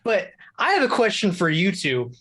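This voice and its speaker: A man's voice: high voice, huge pitch swings, normal speed, fairly bright tone. A man speaking as a show guest, explaining things casually and thinking aloud. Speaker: video game contributor, show guest.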